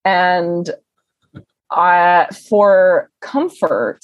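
A young woman telling stories in a casual interview, chatty and animated, with lots of "um" and "like". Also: lowish voice, very slow, dry recording, muffled recording. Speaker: interview guest. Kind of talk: casual conversational storytelling.

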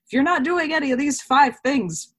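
A woman's voice: high voice, expressive, moderate pace. A woman talking casually in an interview, acting out imagined quotes as she explains things. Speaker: interview guest, actor.

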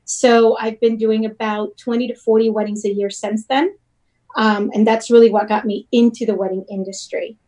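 A woman's voice: a little high, little pitch movement, moderate pace, darkish tone, low-quality recording. A woman from Puerto Rico talking casually as an interview guest, explaining her work. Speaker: interview guest, wedding photographer and blogger.

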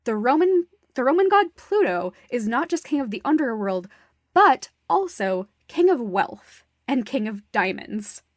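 Higher-pitched woman in emphatic casual discussion. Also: very animated, unhurried, dry recording, studio quality. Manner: emphatic, analytical, swears casually. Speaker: podcast co-host discussing a stage musical. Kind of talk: casual, opinionated critical discussion.

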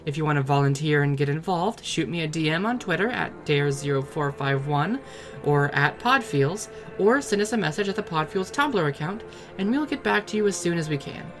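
A woman, the project director, reading a scripted outro with credits and links in a steady, friendly way. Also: sits low, moderate pace, soft background music.

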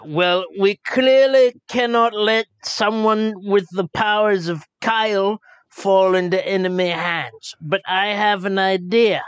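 Male tabletop player: high-pitched, slow delivery, in-character table talk. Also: smooth, no room sound. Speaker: player in a tabletop role-playing game.

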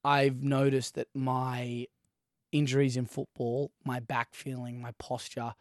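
A man's voice: mid-range voice, flat delivery, measured pace, dry recording, clean studio sound. A man co-hosting a podcast in casual chat, rambling through anecdotes and laughing as he goes. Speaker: podcast co-host.

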